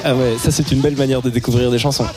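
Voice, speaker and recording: A man's voice: medium pitch, fairly even intonation, very fast. A man co-hosting a casual music radio show, joking at his own expense, laughing often and tripping over repeated words. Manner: playful, self-mocking, laughs often, stumbles and repeats words. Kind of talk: casual on-air chat about music. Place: radio studio. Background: music playing.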